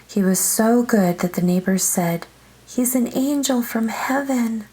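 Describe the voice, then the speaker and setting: A woman's voice: medium pitch, a little mellow. A woman reading a children's story aloud as narrator, expressively, drawing out some phrases.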